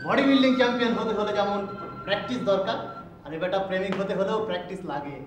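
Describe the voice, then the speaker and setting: A man's voice: high-pitched, lively intonation, fast talker, music in the background. An actor playing a cheeky, teasing young man, the hero's best friend, in a TV drama. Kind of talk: TV drama dialogue.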